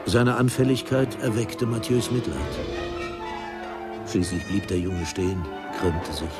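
A man's voice: lowish voice, flat delivery, a little slow. A man narrating a literary radio drama in a formal, solemn manner. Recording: music behind the voice.

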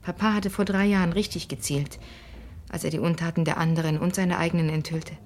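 An actress playing an anxious, earnest married daughter of a criminal, confiding and breathy, in a German radio crime drama. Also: female voice, lowish voice, fairly even intonation, a little fast, a little mellow, slight background noise, close to an everyday voice.

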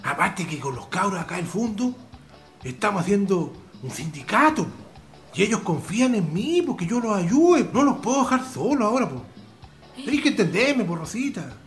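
A man's voice: high-pitched, big pitch swings, moderate pace, soft background music. An actor playing an affectionate, stubborn old farmhand father with a rural Chilean accent and colloquial country speech, in a radio drama. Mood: proud, insistent. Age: older.

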